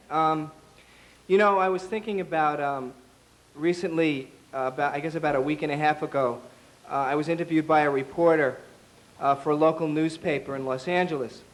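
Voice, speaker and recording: A hoarse man, a party official, giving a political speech at an event, halting with ums and building to a rousing call. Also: a little high.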